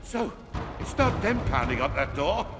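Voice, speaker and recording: An actor playing a cowardly, petulant Roman emperor in a video game, whining and imperious in a theatrical way. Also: male voice, a little high, very animated, moderate pace. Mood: resigned, sly. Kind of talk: dramatic video game acting. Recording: long hall reverb under loud music.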